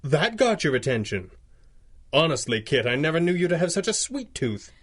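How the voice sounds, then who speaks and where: An actor playing a wealthy masked crime fighter with a polished, drily witty upper-class manner, in a radio drama. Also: male voice, a little high, big pitch swings, moderate pace, a bit bright, slightly rough, full character voice. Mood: urbane, dry. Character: suave wealthy masked hero, urbane and dry. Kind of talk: 1930s radio drama acting.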